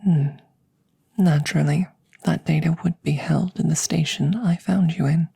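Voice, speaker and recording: A woman's voice: low voice, steady tone, normal speed, vocal fry, mellow, breathy edge, no echo, heavily acted. A woman voicing a curious, clinical alien scientist in an ASMR roleplay, whispering breathily and phrasing things formally and precisely, close to the microphone. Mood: calm, businesslike. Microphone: close microphone, ASMR style.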